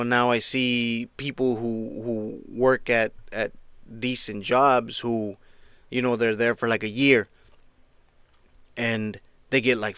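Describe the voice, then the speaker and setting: A man with a smooth, clear, mid-pitched voice talks in a loose, conversational way, restarting phrases and tossing in "right?" as he goes. The recording is dry and close with no room sound. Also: a bit bright, no room sound. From Mexico, raised in Eastern Washington. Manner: conversational, frequent restarts and "right?" check-ins. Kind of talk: casual spoken commentary.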